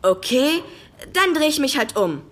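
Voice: sits high, very animated, a little slow, a bit bright, full character voice. An actor voicing an eager schoolboy who shows off about his superhero in a children's radio play. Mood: matter-of-fact.

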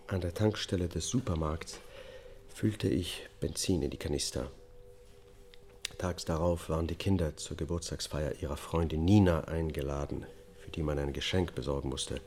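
An actor playing a subdued, haunted man on trial for killing his family, testifying in a measured, matter-of-fact way in a radio drama. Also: a bass voice, darkish tone.

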